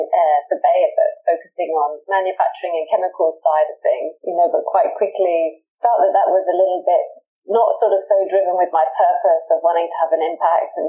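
British woman, executive guest, low mellow voice, earnest and measured, muffled call audio. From United Kingdom. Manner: measured, earnest, with frequent restarts. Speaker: pharmaceutical executive, interview guest. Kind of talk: interview, professional self-introduction.

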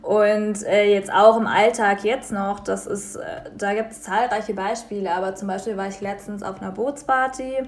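A young woman from Germany co-hosting a radio talk show, telling everyday stories in a lively, casual way and acting out the people in them. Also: mid-range voice, moderate pace, few pauses, slight background noise. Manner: lively storytelling, acts out quoted people.

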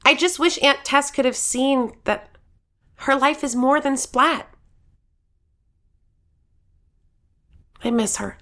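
A woman voicing an earnest, expressive 13-year-old game-show contestant who narrates their own story in an audio drama. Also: sits high, measured pace, heavily acted. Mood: grieving.

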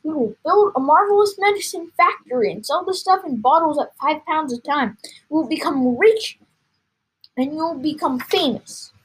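A woman voicing an excitable, impatient father who exclaims and insists as she reads a children's story aloud. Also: high voice, unhurried, pitched above the performer's own voice, rough recording. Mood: excited.

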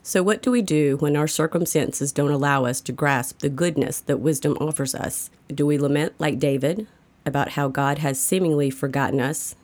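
A woman's voice: low-pitched, moderate pace, a little mellow. A woman reads a scripted daily devotional as its narrator. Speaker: devotional reader.

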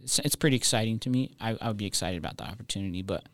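A pastor from Reno chatting casually, laid-back, laughing often and calling people dude. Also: male voice, fairly low pitch, very fast, a bit bright, no room sound, clean studio sound. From Reno, Nevada. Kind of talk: casual chat.

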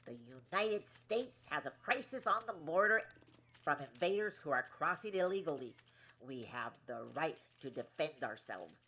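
An actress playing a curt, indignant government press secretary who scolds and talks down with emphasis in an audio play. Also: female voice, low voice, lively intonation, normal speed, pushed and bright, husky, exaggerated voice. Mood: scolding.

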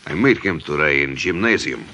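A smooth, menacing Italian mobster with a low voice and forceful edge. He speaks broken English with calm mockery, in the manner of an old radio crime drama. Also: moderate pace, a little hiss or hum, exaggerated voice. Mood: calm menace. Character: menacing, smooth-talking Italian immigrant gang boss. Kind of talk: 1940s radio acting.

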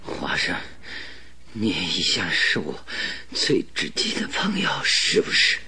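A gravely sick, imperious detective in a radio play. His low voice swings widely between breathy, halting gasps and irritable commands. He sounds laboured and short of air. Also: expressive, pushed and bright, faint music behind, full character voice. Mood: gasping, pleading. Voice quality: breathy, gasping, creaky. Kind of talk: radio drama acting.

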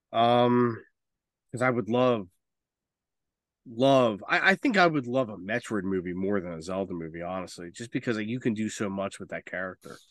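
A man's voice: mid-range voice, no echo. Blunt man in casual podcast chat, dry sound. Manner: blunt, frequently swearing. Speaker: podcast co-host. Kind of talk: casual opinionated chat about games and films.

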